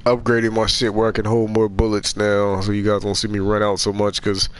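A man with a nasal voice, stuffed up from a cold, gives running commentary while playing a game. His voice sits fairly low, and he swears freely and mocks the game's characters. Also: monotone, fairly quick, clear, resonant tone. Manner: profane, trash-talks the game characters. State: sick with a cold. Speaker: gaming streamer. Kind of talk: let's play commentary.

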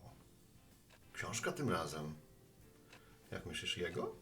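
A man's voice: deep voice, expressive, takes it slowly, bright, forceful tone, soft background music, heavily acted. An actor playing a talkative, friendly postman with earnest opinions, chatting at a bar in an audio drama. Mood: conversational. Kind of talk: Polish audio drama acting.

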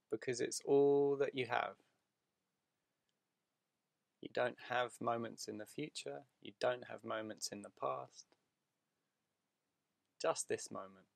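Slow, creaky-voiced man guiding meditation. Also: medium pitch, no room sound, clean studio sound. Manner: soothing, unhurried short phrases with long pauses. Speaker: meditation guide. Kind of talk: guided meditation.

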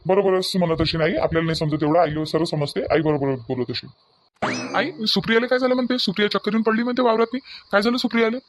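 A high-pitched, quick-talking young cartoon son played by a man with a Varhadi Marathi accent. He is earnest and anxious. His words hurry along and circle back on themselves. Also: expressive, background noise. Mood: agreeable. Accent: Varhadi Marathi of Vidarbha. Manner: earnest, hurried, repetitive. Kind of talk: family cartoon dialogue.

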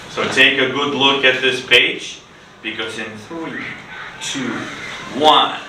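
Middle-aged male teacher, slow and echoey. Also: medium pitch. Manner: instructive, short prompts and repeated phrases for learners to echo. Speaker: English teacher. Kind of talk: classroom ESL lesson, questioning students. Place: classroom, standing at the board. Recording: echoey noisy classroom, distant mic.

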